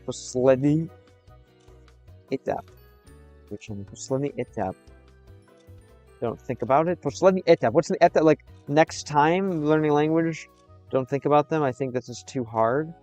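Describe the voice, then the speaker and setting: A young American man chatting with his stream viewers, switching between English and halting Russian, pausing often as he reads the chat. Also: fairly high pitch, darkish tone, faint music behind. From United States.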